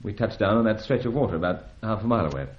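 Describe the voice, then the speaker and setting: A man's voice: lowish voice, animated, rapid-fire, close to an everyday voice. An actor playing a suave, wry British secret agent in an old-fashioned radio adventure serial, urbane and gallant in his phrasing. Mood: smooth, affable. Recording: dull, roomy vintage radio recording.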